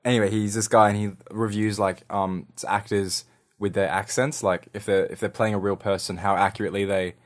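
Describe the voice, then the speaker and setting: A man chatting on a podcast, pausing and searching for words. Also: fairly low pitch, level tone. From Australia. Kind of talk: casual podcast chat.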